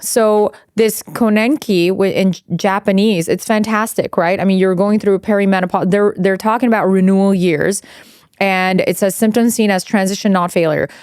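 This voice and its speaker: A woman's voice: mid-range voice, steady tone, normal speed, a little mellow, smooth, no room sound, clean studio sound. A woman in her forties who grew up in Iran, co-hosting a podcast in casual chat, candid and blunt, swearing freely and wandering off on tangents. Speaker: podcast co-host.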